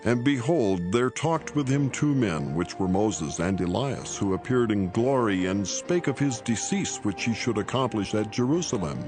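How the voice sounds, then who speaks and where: A man narrating scripture in a solemn, measured and formal reading style. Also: on the low side, animated, music in the background.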